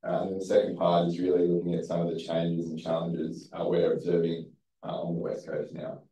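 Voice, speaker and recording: An Australian man from Western Australia giving a seminar presentation in a low, mumbly voice, relaxed and full of ums. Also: brisk. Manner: relaxed, many ums and restarts. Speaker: guest presenter. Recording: echoey room, distant from the mic.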